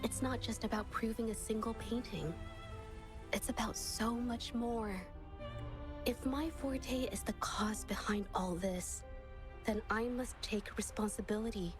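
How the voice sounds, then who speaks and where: High, earnest actress as a young painter. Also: female voice, steady tone, measured pace, over music, natural voice. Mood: heartfelt. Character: earnest young woman painter, gentle, resolute and sincere. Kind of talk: dramatic video game voice acting.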